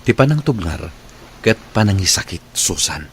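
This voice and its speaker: An actor playing a firm, opinionated older man in an Ilocano radio drama, frank and deliberate in his advice. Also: sits low, sing-song, a little hiss or hum. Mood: concerned, firm.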